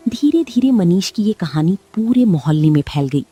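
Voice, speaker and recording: A woman's voice: on the low side, normal speed, breathy edge, no room sound, faint music behind. Woman narrating a cartoon story. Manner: steady storytelling.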